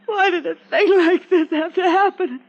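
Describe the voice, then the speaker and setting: A woman's voice: very high-pitched, moderate pace, a little mellow. An actress playing a shocked, grieving young woman in a melodramatic radio mystery. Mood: tearful, distraught. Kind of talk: melodramatic radio drama acting. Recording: dull old radio recording, faint noise.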